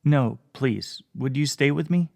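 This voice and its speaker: A man's voice: mid-range voice, measured pace, creaky, a little mellow, no echo. A man voicing a dazed, wry young man. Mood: bewildered. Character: bewildered young man, dry humor, anxious.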